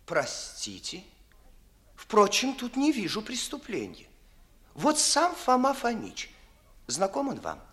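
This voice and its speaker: Unctuous, timid young office flatterer, 1950s radio verse comedy; slow, deferential, extravagantly melodic. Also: male voice, high voice, exaggerated voice. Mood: reverent. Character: obsequious, cautious young clerk, sly flatterer. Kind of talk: 1950s classical radio theatre acting in verse.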